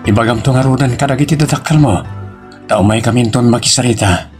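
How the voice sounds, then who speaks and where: Guy doing a devoted young boyfriend, filipino accent, earnest, mid pitch, romantic audio drama with music clearly behind. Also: fast, a little mellow, lightly acted. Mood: hopeful. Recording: music under the voice.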